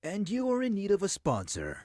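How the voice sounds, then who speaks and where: A man playing a formal, measured older corporate executive in a visual novel game. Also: high voice, huge pitch swings, a little mellow, dry recording. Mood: formal, welcoming.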